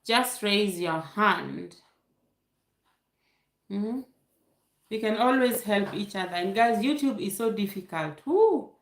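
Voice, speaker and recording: A woman's voice: mid-range voice, lively intonation, takes it slowly, long pauses, reverberant. A young woman from Kenya with a Kenyan accent and Luo as her first language, hosting a casual live question-and-answer stream, reading viewer comments aloud and laughing often. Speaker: YouTube livestream host.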